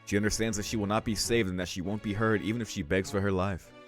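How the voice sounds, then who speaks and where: Low-voiced man reading a story. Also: steady tone, quick pace, faint music behind. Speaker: let's-play host reading aloud. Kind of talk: narrating a visual novel aloud.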